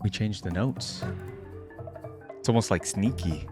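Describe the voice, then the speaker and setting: A man giving casual, off-the-cuff reaction commentary as a video host, rambling as he thinks out loud. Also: on the low side, a little slow, music in the background.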